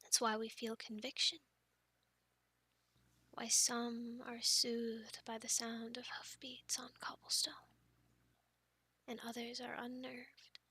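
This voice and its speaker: A woman's voice: a little high, monotone, slow, pauses often, pushed and bright, husky. A young woman performing an ASMR roleplay in a playful, girlish manner, close to the microphone. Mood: hushed storytelling. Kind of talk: ASMR roleplay, whispered storytelling and casual chat.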